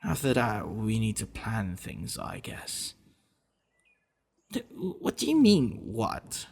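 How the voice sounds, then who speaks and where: A man acts out a possessive male vampire lover in an intimate ASMR roleplay. The voice is low and husky, with expressive, swooping pitch. He soothes and teases his beloved with devoted tenderness. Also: slow, exaggerated voice. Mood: gentle, reassuring. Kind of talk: ASMR boyfriend roleplay acting.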